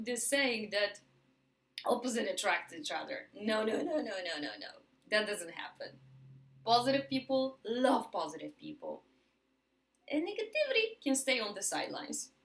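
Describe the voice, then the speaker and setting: A woman with a Polish accent delivers a motivational talk to camera. Her delivery is emphatic, with words repeated for stress. She slows deliberately on the phrases that matter most. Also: medium pitch. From Poland. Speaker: motivational speaker.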